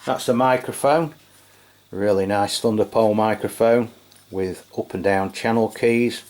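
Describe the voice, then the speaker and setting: A man giving a casual, hands-on product review as a channel host, speaking in a low-key, hesitant, unscripted way. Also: measured pace, darkish tone. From England.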